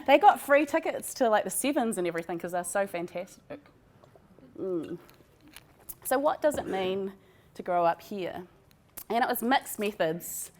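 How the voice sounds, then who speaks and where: A woman with a New Zealand accent presenting research in an informal, conversational academic lecture. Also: mid-range voice.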